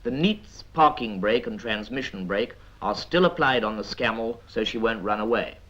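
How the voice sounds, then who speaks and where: A British man reads scripted 1950s military training film narration in a measured, instructive manner with dry asides. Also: on the low side, animated, a bit bright. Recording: dull vintage 1950s film soundtrack.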